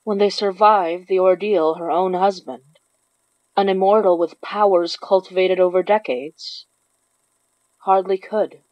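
A woman's voice: medium pitch, fairly even intonation, unhurried, no echo, highs cut off. A woman reading scripted narration for a horror story. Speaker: narrator of a horror fiction podcast.

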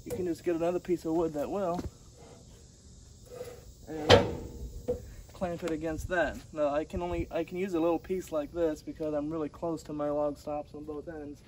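A man's voice: on the high side, steady tone, normal speed, pauses often. A man in his thirties casually explaining tips beside a sawmill outdoors by a barn, filming on a handheld phone. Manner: plainspoken, explains while working with his hands. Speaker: sawmill hobbyist showing tips. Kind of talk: casual how-to demonstration. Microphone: phone camera held by the speaker. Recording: outdoor phone audio with clear background noise.

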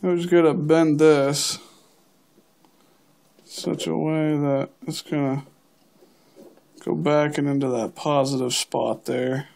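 A man's voice: a little high, slow, creaky, long pauses. A young man casually narrating a hands-on DIY job, offhandedly talking to himself as he works. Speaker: DIY hobbyist demonstrating a build. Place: indoor workbench. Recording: steady background noise, amateur camera audio.

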